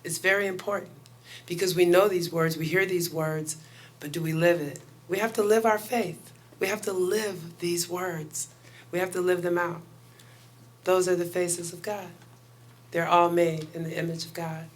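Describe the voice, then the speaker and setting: A woman guest pastor with a loud voice giving a chatty sermon and prayer to a congregation, laughing often between lines. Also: on the low side, steady tone, moderate pace. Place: house of worship.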